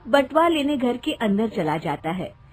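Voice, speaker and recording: High-voiced woman narrating a story. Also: sing-song, measured pace. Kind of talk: scripted children's story narration.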